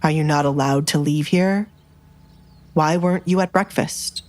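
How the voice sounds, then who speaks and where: A woman voicing a powerful male duke, cool, controlled and quietly menacing, in an audio romance drama. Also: low-pitched, a little slow. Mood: probing, firm.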